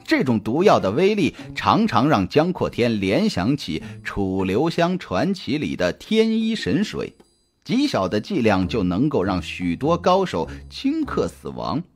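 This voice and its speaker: A man reading a scripted suspense audiobook in a dramatic, deliberate, expressive storytelling manner. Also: medium pitch. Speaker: audiobook narrator. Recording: music under the voice. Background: background music.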